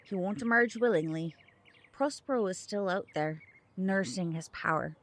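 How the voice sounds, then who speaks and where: A woman's voice: mid-range voice, moderate pace, lightly acted. Actress as a solemn, protective magical mother. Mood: solemn, grave. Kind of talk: audio drama acting.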